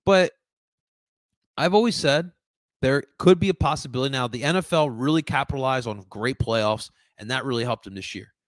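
A man's voice: medium pitch, normal speed, dry recording. A talkative man with a light Latin American Spanish accent hosts a casual sports stream, stumbling over and repeating words as he answers his viewers. His mid-range voice is recorded close and dry, with no room sound. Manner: rambling, repeats words, laughs often, chats to viewers. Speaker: sports podcast host reading live chat.

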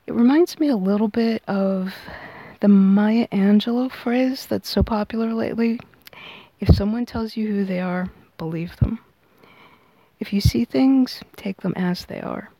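A woman hosting a tarot reading, explaining it in measured, unscripted phrases. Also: medium pitch, dark tone, no echo, rough recording. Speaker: tarot reader and podcast host.

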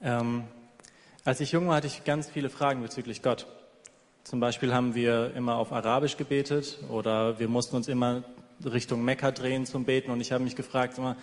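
A man's voice: mid-range voice, flat delivery, fairly quick. Man interpreting a sermon in a reverberant hall. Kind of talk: live consecutive interpreting of a sermon. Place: large echoing church hall.

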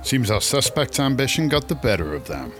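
A man playing a wry, hard-boiled police detective, deadpan in a comic radio mystery. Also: medium pitch, fairly quick, music in the background, natural voice. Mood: wry, grave.

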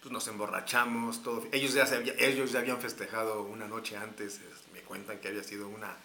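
A man's voice: lowish voice, little pitch movement, fast, creaky, bright, forceful tone, echoey room, a little hiss or hum. A man with a Mexican accent, telling a long story casually with slang, fillers and restarts. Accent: Mexican Spanish. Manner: rambling, many fillers and restarts.

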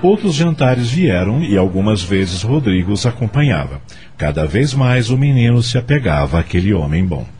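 A man's voice: lowish voice, sing-song, moderate pace, over music. A man narrating a scripted radio drama as its storyteller.

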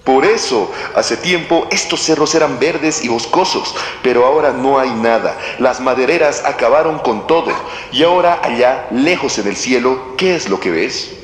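A man's voice: medium pitch, expressive, moderate pace, few pauses, a little hiss or hum, close to an everyday voice. Man acting an echoey, earnest street doomsayer. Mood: grave, explaining. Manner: urgent, earnest, insistent. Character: earnest, alarmed male street doomsayer with megaphone. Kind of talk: scripted audio drama acting. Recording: echoey hall reverb, loud music behind.

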